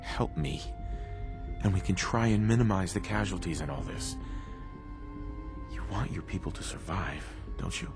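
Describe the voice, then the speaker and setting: A man's voice: low voice, animated, moderate pace, pauses often. An actor playing an earnest, weary survivor and father in a game, plain and sincere. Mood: urgent appeal. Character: earnest, battle-tired male survivor hero and father. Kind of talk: game cutscene acting. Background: music.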